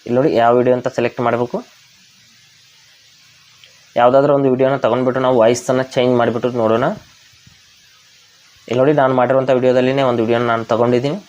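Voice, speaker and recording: A man's voice: mid-range voice, level tone, fast talker, long pauses, a little mellow. A man from Karnataka with an Indian accent, hosting a step-by-step app tutorial. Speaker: tech tutorial host. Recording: dull, lo-fi voice recording.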